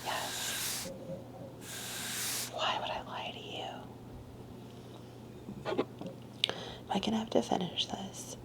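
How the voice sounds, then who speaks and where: Woman playing a gentle whispering mother, soothing ASMR. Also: medium pitch, fairly even intonation, exaggerated voice. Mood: soft, caring. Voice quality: breathy whisper. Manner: slow, soothing, repeats reassurances. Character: gentle doting mother soothing her anxious child. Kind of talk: ASMR comfort roleplay. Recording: music behind the whisper. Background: music.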